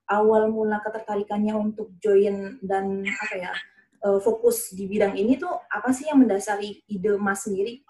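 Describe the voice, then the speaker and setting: A woman's voice: mid-range voice, fairly even intonation, moderate pace, mellow, reverberant, low-quality recording. A woman interviewing a guest, prompting him with friendly questions and short backchannels. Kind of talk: casual interview.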